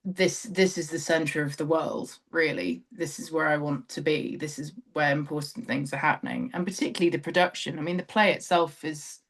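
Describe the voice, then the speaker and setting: A woman's voice: deep voice, fairly even intonation, brisk, hardly any pauses, fairly bright tone. Reflective southern English woman in conversation. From London, England. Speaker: interview guest, theatre director. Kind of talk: conversational interview, personal recollection.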